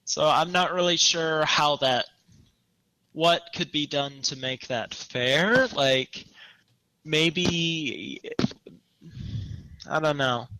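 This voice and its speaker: A man's voice: on the high side, extremely slow, long pauses, bright, forceful tone, no room sound, rough recording. A man co-hosting a casual podcast discussion in a tentative, questioning way. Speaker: podcast co-host.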